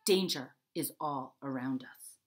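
A woman's voice: extremely low pitch, measured pace, voice pushed down. A woman voicing a bold, imaginative young boy who makes dramatic, commanding declarations in a storybook.

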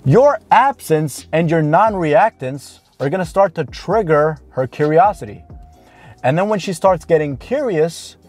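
A man's voice: a little high, expressive, a little mellow. A man of around thirty gives blunt, informal, emphatic coaching advice straight to camera. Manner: blunt, informal, emphatic with drawn-out key words. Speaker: dating coach. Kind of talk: direct-to-camera advice talk. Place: inside a parked car. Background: background music.

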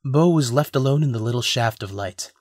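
A man's voice: medium pitch, a little fast, darkish tone, dry recording, clean studio sound. A man narrating a scripted audio drama as a storyteller.

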